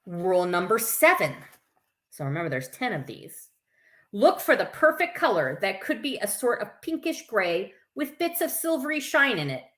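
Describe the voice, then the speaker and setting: Bright-voiced woman reading a storybook aloud. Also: fairly low pitch. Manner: expressive, informal, breaks off for asides to listeners. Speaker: camp staff story time host. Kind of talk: live picture-book read-aloud with chatty asides.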